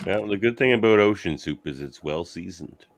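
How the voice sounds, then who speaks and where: A man's voice: low voice, expressive, moderate pace. A man hosting a debate show in a casual, unscripted way.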